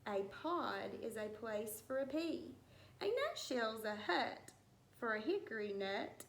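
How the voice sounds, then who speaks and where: A woman teacher reading a rhyming picture book aloud to young children, with deliberate, singsong storybook phrasing. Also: fairly high pitch, sing-song. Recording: roomy echo with some background noise.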